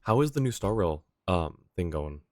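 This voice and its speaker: Low-voiced, easygoing male game streamer. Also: sing-song, normal speed, darkish tone, no room sound, studio quality. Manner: easygoing, polite, thinking aloud. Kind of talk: casual livestream chat.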